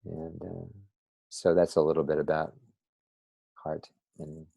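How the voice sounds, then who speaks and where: A man, the guest in a casual interview, speaking gently with hesitant repetitions. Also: very deep voice, flat delivery, measured pace, gravelly, dry recording, muffled recording. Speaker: guest, former institute CEO.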